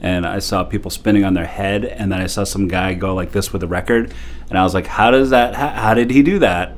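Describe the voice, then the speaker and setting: Man, forties, from Japan, very low voice, laughing storyteller. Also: fairly quick, slight background noise. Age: 40s. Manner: animated, laughing, many fillers. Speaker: DJ guest. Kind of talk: casual interview storytelling.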